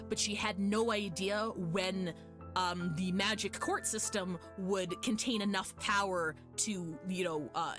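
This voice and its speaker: A woman livestreaming a game, giving casual, rambling, emphatic commentary and often repeating her phrases. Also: lowish voice. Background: game music.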